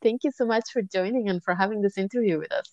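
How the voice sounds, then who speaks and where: A woman hosting a casual interview, friendly and full of quick affirmations and fillers. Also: mid-range voice, darkish tone, dry recording, muffled recording. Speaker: interviewer host.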